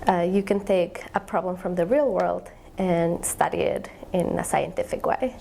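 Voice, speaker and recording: A woman's voice: lowish voice, normal speed, vocal fry, mellow, a little hiss or hum. A young woman, a graduate student explaining her research in an interview, thoughtful and searching for words. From Ecuador. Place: eye tracking lab.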